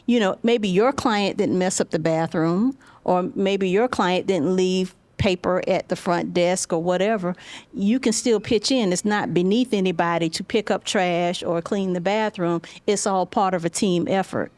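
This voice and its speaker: A woman's voice: lowish voice, moderate pace, darkish tone, dry recording. A woman panelist speaking firmly and practically about teamwork. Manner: firm, practical. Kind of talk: panel talk to students.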